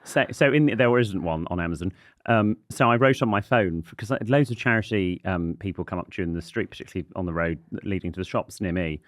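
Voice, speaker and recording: A man co-hosting a casual podcast, his voice husky and raw while recovering from laryngitis, teasing and dry. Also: deep voice, dry recording. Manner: dry, teasing, quick with jokes. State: recovering from lost voice, raw throat. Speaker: podcast co-host. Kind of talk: casual chat.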